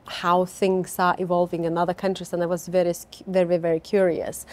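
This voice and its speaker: A middle-aged woman speaks, answering interview questions in an eager, heartfelt way. She often doubles her words for emphasis. She is recorded on a lapel mic in a bright office lounge. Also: on the low side. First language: Lithuanian. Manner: enthusiastic, repeats words for emphasis. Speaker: company founder, interviewee. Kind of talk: conversational interview. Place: bright open office lounge with large windows.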